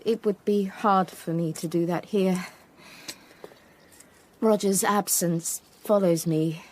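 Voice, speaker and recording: An actress playing a grieving, gentle Tudor widow in a period radio drama, speaking with measured formality. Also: female voice, fairly low pitch, steady tone, a little hiss or hum. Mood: tender, grieving.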